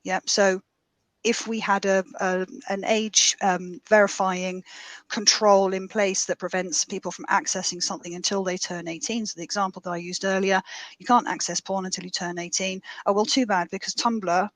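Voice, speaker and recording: Brisk woman panelist, dry close sound. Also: mid-range voice, steady tone, a bit bright, dry recording, rough recording. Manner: brisk, emphatic explainer. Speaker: panelist, data lead. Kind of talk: conference panel discussion.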